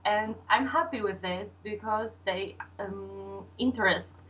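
A young woman with a Polish accent, giving casual interview answers in halting English as she searches for words. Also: medium pitch, a bit bright, soft background music. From Poland. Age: young adult. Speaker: podcast guest, sex education video maker. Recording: distant mic with faint background noise.

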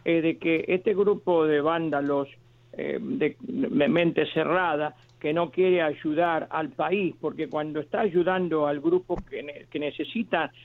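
Un hombre que llama a un programa de radio. Habla entrecortado, se corrige a menudo y dice lo que piensa con convicción. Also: male voice, on the high side, fairly even intonation. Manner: halting, many restarts, earnest. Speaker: caller. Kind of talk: phone-in call. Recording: tinny phone line.

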